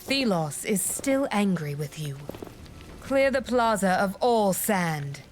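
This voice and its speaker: A woman playing an imperious, condescending high priestess in a video game, pious and measured. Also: animated, slight background noise. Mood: stern. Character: haughty, pious high priestess, disdainful of commoners.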